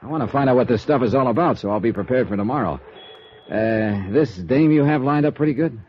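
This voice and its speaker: A male actor as a wry, cocky insurance investigator in a 1950s radio noir. His delivery is very quick and clipped, with lively swings in pitch. The recording is dull, vintage radio. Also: slight background noise, lightly acted. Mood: wry, casual.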